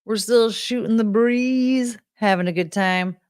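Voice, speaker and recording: A woman's voice: fairly high pitch, unhurried, a little mellow, no echo, clean studio sound. An American woman hosting a live stream, chatting in a rambling, unfiltered way with casual swearing. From United States.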